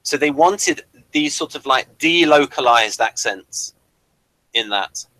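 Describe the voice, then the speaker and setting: Bright-voiced man in rambling discussion. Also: mid-range voice, lively intonation, takes it slowly, dry recording, poor audio. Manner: hesitant, digressive, explanatory. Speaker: host of a TV review show.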